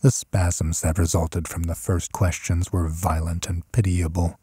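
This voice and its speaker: A man reading a horror story as an audiobook narrator, measured and solemn in his delivery. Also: low voice, flat delivery, dark tone, breathy edge.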